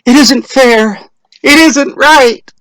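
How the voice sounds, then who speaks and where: A man's voice: very high voice, slow pace, a bit bright, no room sound, pitched above the performer's own voice, heavily acted, low-quality recording. A man voicing a defiant, desperate village housewife in a dramatic story reading, pleading and indignant.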